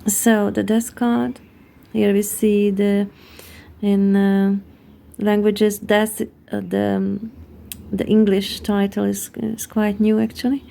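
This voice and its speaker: A woman with a Hungarian accent talks through a card reading at an unhurried pace. Her voice is very gravelly with a lot of vocal fry, and its tone is dark and mellow. She pauses often to search for the next word. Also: mid-range voice, level tone. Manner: halting, searching for words. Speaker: tarot card reader.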